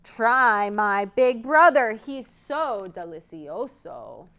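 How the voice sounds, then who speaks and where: A woman's voice: medium pitch, big pitch swings, slow pace, a bit bright, heavily acted. A woman voicing a small, clever male billy goat from a children's storybook, pleading and bargaining. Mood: persuasive.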